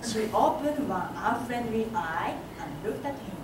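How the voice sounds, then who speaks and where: A woman's voice: fairly high pitch, animated, measured pace. A young woman reading scripted narration in a readers theatre stage performance. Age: young adult. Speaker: student narrator. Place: large indoor symposium room, recorded from afar. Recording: distant echoey hall, clear background noise.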